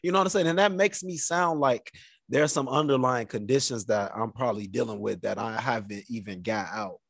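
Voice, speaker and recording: A man's voice: mid-range voice, fairly quick, vocal fry, a bit bright, dry recording, studio quality. A man co-hosting a podcast, telling self-mocking stories and laughing through them. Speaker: podcast co-host. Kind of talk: casual chat.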